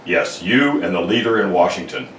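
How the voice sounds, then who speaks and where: A man's voice: low voice, lively intonation, normal speed, a bit bright. A man playing a solemn army general with grave orders in an old-time radio drama. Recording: roomy, distant mic with faint noise.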